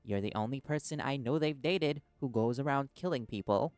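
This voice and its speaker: A man's voice: medium pitch, moderate pace, darkish tone, clear, resonant tone, dry recording, soft background music, natural voice. A man playing a stubborn, wary male reporter held captive in an audio drama, speaking in a hesitant yet defiant way. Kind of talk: audio roleplay drama acting.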